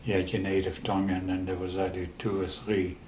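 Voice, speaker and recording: A man in his eighties with a Dutch accent, telling stories in a casual interview, halting with many restarts and pauses. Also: deep voice, level tone, darkish tone, roomy sound, slight background noise. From the Netherlands. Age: 80s. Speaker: interview guest.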